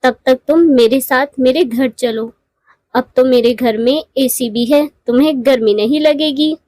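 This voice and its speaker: Cartoon younger-sister bird played by a woman: female, modest, courteous, earnest, high-pitched. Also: unhurried, darkish tone, no room sound. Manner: polite, earnest. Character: humble, honest poor married female bird, younger sister. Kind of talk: Hindi moral cartoon voice acting.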